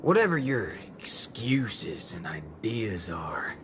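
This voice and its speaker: An actor voicing a brash, gruff cowboy gunslinger with a Western American drawl and folksy swagger in a video game. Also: male voice, sits low, big pitch swings, slow pace, slight background noise, heavily acted. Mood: cool, threatening. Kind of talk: video game character acting. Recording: loud game music behind the voice.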